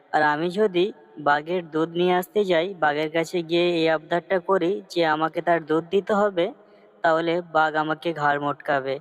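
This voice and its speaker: A man's voice: on the high side, clean tone, dry recording, close to an everyday voice. A man playing a humble woodcutter father in a cartoon story, earnest and plaintive.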